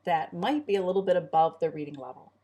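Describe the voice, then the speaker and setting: A woman's voice: lowish voice, fast, mellow. A middle-aged woman chatting casually as a co-host and homeschooling parent. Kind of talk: casual conversational talk.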